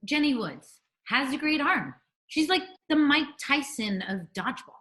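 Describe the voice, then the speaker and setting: A bold, bossy American schoolgirl of thirteen, read by a grown woman at a table read. The voice is fairly high, with lively rises and falls. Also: normal speed. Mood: matter-of-fact. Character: bold, bossy thirteen-year-old schoolgirl best friend. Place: room at home, on a group video call.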